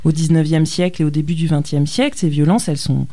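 A middle-aged woman historian, a guest on a radio interview, who explains at length with frequent thoughtful pauses and hesitations. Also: low voice, steady tone, mellow. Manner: thoughtful, many hesitations with "euh". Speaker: historian, university lecturer.

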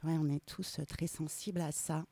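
A woman's voice: low voice, steady tone, normal speed, creaky, dark tone, dry recording. A woman hosting a casual radio talk show, chatty and hesitant, often restarting her sentences. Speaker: radio host.

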